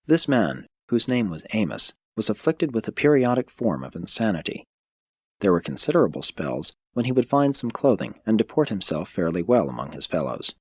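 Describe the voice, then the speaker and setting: A man narrates scripture-like stories in a low, husky voice. His delivery is measured and solemn, that of an audiobook reader, captured very dry and close without room sound. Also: no echo. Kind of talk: scripted narration of a religious text.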